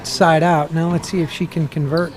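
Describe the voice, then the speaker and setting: A man commentating live on a racquetball match, loud and chatty, breaking down each rally. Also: on the high side, flat delivery, moderate pace, music in the background, rough recording. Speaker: color commentator.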